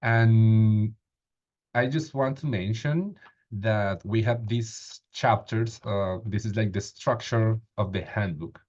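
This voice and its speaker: Slow, hesitant man hosting a video call. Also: on the low side, a little mellow, lo-fi sound. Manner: hesitant, frequent fillers. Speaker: meeting host. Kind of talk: online meeting moderation. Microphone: video call webcam.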